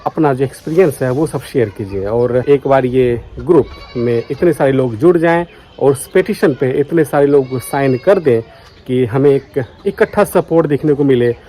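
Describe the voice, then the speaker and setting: Mellow-voiced Indian man vlogging earnestly. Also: medium pitch, normal speed. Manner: earnest, mixes in English words. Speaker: vlogger, radio enthusiast. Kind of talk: casual vlog talk to viewers. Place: outdoors on a foggy morning.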